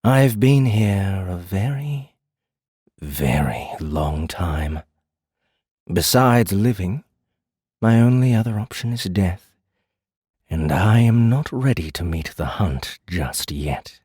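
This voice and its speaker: A man playing a menacing, courteous male fae prisoner in an audiobook, with a smooth, hushed voice and formal, deliberate delivery. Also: deep voice, lively intonation, no echo, studio quality, heavily acted. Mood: grave, restrained. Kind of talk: audiobook character acting.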